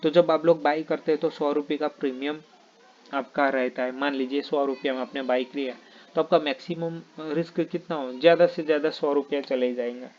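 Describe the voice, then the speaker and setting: A man whose first language is not Hindi, explaining options trading in a halting, filler-heavy way and often checking in with "ठीक है". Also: a little high, steady tone, darkish tone, lo-fi sound. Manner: halting, many fillers and restarts, repeats "ठीक है". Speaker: trading teacher.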